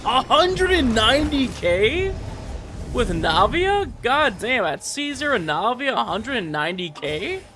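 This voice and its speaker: A man streaming a video game, chatting casually and excitably in slangy, informal language over game music. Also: extremely high pitch, a little slow, noisy background. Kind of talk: casual livestream commentary and chat.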